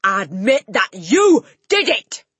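A woman's voice: on the high side, very animated, slow pace, slightly rough, dry recording, pitched above the performer's own voice, heavily acted. A woman voicing a furious, bullying giant of a headmistress who roars at her class. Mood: furious roaring. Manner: roaring, shouting.

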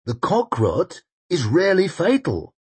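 A weary old commander mocks everyone around him in a lifted, drawling voice, as a male narrator puts him on. Husky and wry, with a lively swing to the lines. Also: fairly high pitch, a little slow, pitched above the performer's own voice, exaggerated voice. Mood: mocking. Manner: drawling, sardonic. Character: sardonic old male general, dry and mocking.

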